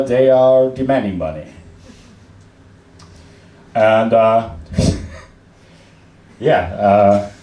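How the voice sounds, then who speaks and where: A young man from Austria with an Austrian accent, German his first language, performing a deadpan, self-mocking stand-up set with many fillers and restarts. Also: on the low side, a little hiss or hum. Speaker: stand-up comedian. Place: comedy club stage. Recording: roomy club sound from a distant mic, loud crowd.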